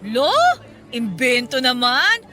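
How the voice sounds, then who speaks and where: A cheeky young Filipina in a radio drama. Her voice is high and piercingly bright, and she talks back in a pert, sarcastic way. Soft music runs behind her. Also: very animated, slow pace, exaggerated voice. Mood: cheeky banter. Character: sassy, food-loving young woman, cheeky and grudge-holding. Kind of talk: Tagalog radio drama acting.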